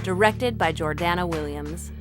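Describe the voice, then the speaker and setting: A woman's voice: fairly low pitch, normal speed. A woman announcer reading scripted credits for an audio drama. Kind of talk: scripted announcement over theme music. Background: music.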